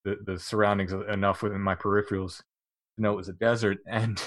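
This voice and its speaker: Low-voiced man telling a strange story. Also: little pitch movement, dry recording. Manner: halting, many restarts and fillers, occasional laughs. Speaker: guest recounting experiences. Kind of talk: casual interview storytelling.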